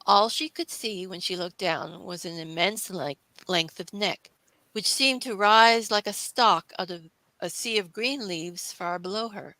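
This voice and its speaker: A woman's voice: medium pitch, measured pace, bright, forceful tone, no room sound, rough recording. A woman reading scripted storybook narration as the narrator of a classic tale.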